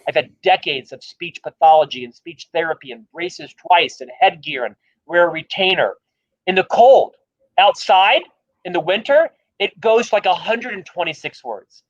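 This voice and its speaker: A man with a high, light voice and a stutter speaks emphatically and self-deprecatingly. The sound is dull and lo-fi, like a livestream call. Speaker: author and guest speaker. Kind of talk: livestream interview talk.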